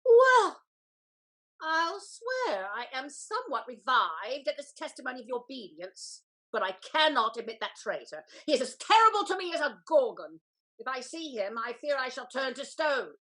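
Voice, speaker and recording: An imperious older society matriarch from a Restoration comedy. Her voice sits very high, and every line is delivered with grand melodrama. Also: sing-song, moderate pace, sharp tone, heavily acted. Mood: wary, dramatic. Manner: grandiose, theatrical. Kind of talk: scripted stage comedy acting.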